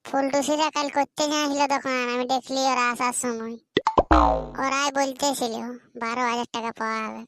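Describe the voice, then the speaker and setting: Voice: high voice, level tone, a little fast, a bit bright. A performer voicing an eager, chatty village student boy in a comedy cartoon, with a rural Purulia Bengali accent and colloquial banter.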